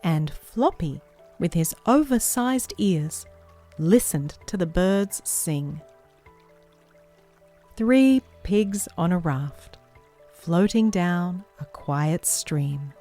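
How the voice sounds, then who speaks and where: A woman reading a picture book aloud to young children as a story-time host, in a measured, expressive storytelling manner. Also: on the low side. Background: music behind the voice.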